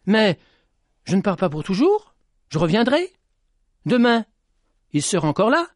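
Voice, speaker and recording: A man voicing Petit Jean, an impulsive young peasant, in a high, strained voice while reading his storybook aloud. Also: very animated, measured pace, no room sound, clean studio sound. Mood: eager. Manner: high strained pleading and shouting.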